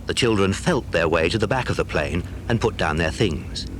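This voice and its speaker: A man's voice: sits low, animated, normal speed, fairly bright tone, a little hiss or hum. A man narrating a children's adventure story from a script.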